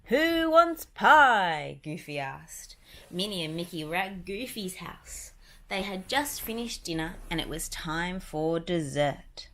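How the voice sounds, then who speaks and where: A woman's voice: fairly low pitch, a little slow. A woman narrating a children's picture book, reading it aloud as a story.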